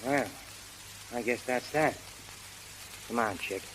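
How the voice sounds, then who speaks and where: Radio play role: slangy, loyal boxing sidekick, mid-pitched, rough grammar, hissy 1930s sound. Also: male voice, slow pace, a bit bright, poor audio. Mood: resigned, bitter. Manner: slangy, ungrammatical working-class talk. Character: streetwise, plain-spoken, loyal male boxing buddy, slangy. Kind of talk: 1930s radio drama acting.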